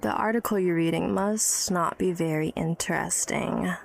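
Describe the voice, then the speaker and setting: A woman's voice: lowish voice, fairly even intonation, normal speed, vocal fry, slight background noise. An actress playing an educated, tipsy, wry woman of early-1900s New York in a period audio drama, teasing and guarded. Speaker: storyteller recalling her childhood.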